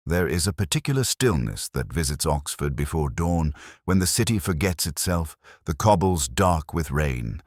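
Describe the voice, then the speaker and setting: A melancholy police inspector narrates a detective radio drama in an extremely deep voice. His delivery is measured and literary, with deliberate pauses and expressive swings in pitch. The sound is dry and close, and his tone stays wry and brooding. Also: no echo, exaggerated voice. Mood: brooding, reflective. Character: melancholy, wry middle-aged male English police inspector. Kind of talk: scripted first-person detective narration.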